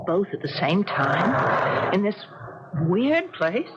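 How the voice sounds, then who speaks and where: A woman's voice: medium pitch, big pitch swings, slow. An actress playing a wondering, slightly mysterious stranded woman, with theatrical 1970s radio drama delivery. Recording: dull noisy 1970s radio recording.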